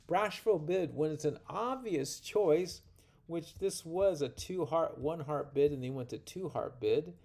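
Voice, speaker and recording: Male tutorial presenter, slow delivery with vocal fry, informal, folksy and playful. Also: on the high side, warm tone. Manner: folksy, playful, with exclamations and rambling asides. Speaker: card game instructor and presenter. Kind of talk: informal tutorial narration walking through card hands.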